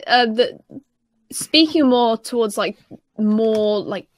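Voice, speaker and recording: A young woman of about twenty with a fairly high voice, recorded dry without room echo. She talks casually as on a podcast, feeling her way through sentences, doubling back and repeating words as she goes. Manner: rambling, halting, repeats herself. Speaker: podcast panelist.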